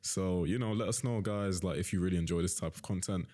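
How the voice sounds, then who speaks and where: A man's voice: low-pitched, fast, warm tone. A young man in his twenties co-hosting a casual podcast, rambling and packing his speech with "like" and "you know". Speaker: co-host and interviewer.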